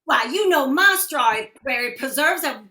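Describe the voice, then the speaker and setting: A woman playing a proud, pushy small-town wife in a radio western, folksy and sharp-tongued. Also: high-pitched, lively intonation, measured pace, roomy sound, slight background noise, exaggerated voice. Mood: indignant. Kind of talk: old-time radio western acting.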